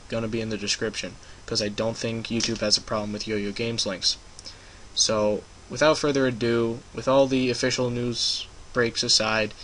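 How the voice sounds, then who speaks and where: A man's voice: mid-range voice, fairly bright tone, slight background noise, poor audio. A young man casually narrating a screen-recorded game-making tutorial, rambling and hesitant with many ums and uhs. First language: English.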